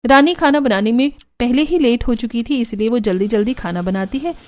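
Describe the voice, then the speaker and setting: A woman's voice: fairly high pitch, brisk. A woman narrator reading a scripted cartoon story.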